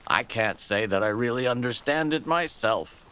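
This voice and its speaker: A man voicing a gruff, scornful old Japanese soldier in a storybook tale, with emphatic, contemptuous delivery. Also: medium pitch, lively intonation, a bit bright, lightly acted. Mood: explaining. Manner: emphatic, contemptuous, drawn-out key words. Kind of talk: storybook character dialogue.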